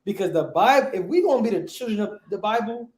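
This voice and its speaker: A man teaching a Bible class, quizzing his students in relaxed slang. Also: high-pitched, quick pace. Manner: quizzing, questioning. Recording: echoey room.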